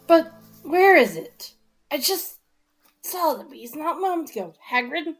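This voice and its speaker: A woman's voice: sits high, animated, unhurried, soft background music. A woman playing a pompous, exasperated government minister in an audio drama, speaking in a formal, officious way. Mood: flustered, impatient. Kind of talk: fan audio drama acting.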